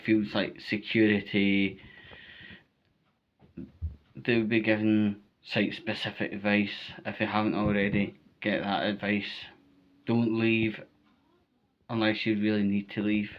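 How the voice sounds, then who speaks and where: Low, even-pitched Scottish man, emphatic. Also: muffled recording. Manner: emphatic, repetitive, checks in with "right?". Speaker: vlogger giving storm safety advice. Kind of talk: informal spoken advice to viewers.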